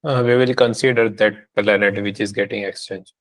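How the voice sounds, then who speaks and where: Hesitant man with a lowish voice. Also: steady tone, fairly quick, a bit bright. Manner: hesitant, tentative. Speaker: student. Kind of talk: answering in an online class.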